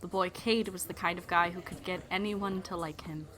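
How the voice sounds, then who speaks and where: A woman's voice: lowish voice, steady tone, moderate pace, noisy background, natural voice. A woman playing an opinionated young narrator of a love story, addressing the listener bluntly in a dramatic first-person telling. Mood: storytelling. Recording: music behind the voice.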